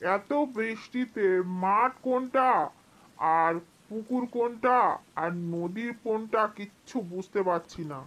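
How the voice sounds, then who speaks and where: A woman's voice: a little high, a little slow, a bit bright. A woman voicing a worried, kindly male farmer in a cartoon folk tale, speaking earnestly. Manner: earnest, fretful.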